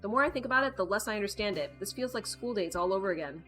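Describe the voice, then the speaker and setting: A woman reading a visual novel aloud with casual, playful, wry asides, in an expressive manner. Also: medium pitch, racing. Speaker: gaming video host. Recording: background music behind the voice. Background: music.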